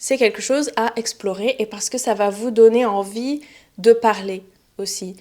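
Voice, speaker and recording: A woman's voice: fairly high pitch, steady tone, normal speed, a little mellow. Young french teacher lady, chatty, lots of ums and restarts, easygoing lesson. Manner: chatty, many fillers and self-corrections. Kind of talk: relaxed spoken lesson for learners.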